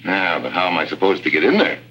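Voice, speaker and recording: Deep-voiced, hard-boiled secret agent. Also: male voice, expressive, fast, bright, forceful tone, slight background noise. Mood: wry. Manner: sardonic, hard-boiled, wisecracking. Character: wry, tough American male secret agent hero. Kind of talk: 1950s radio drama acting and narration. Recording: dull old radio recording.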